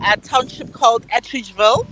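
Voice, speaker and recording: A woman's voice: a little high, fairly quick, very noisy. A woman in her thirties from South Africa with a South African accent, candidly telling her story as an interview guest. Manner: bright, candid, repeats words.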